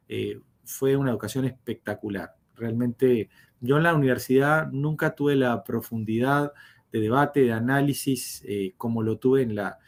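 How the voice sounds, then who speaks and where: A man's voice: medium pitch, darkish tone. Hesitant middle-aged man. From Uruguay. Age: 50s. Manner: hesitant, many fillers and restarts. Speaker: podcast guest, diplomat. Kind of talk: conversational life story.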